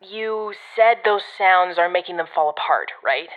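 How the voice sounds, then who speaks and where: A woman's voice: fairly low pitch, lively intonation, unhurried, phone-quality sound. An actress playing a brusque, sardonic woman handler in an audio drama, giving blunt, commanding guidance from a distance. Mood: wry, instructive.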